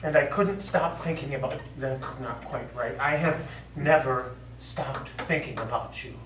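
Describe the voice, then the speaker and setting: An actor on stage playing a middle-aged American war veteran, remorseful and lovelorn, speaking in a halting, earnest, pleading way. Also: male voice, medium pitch, fairly bright tone, lightly acted. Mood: sorrowful. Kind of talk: stage play acting. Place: small theatre stage. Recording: echoey theatre stage, distant mic, faint noise.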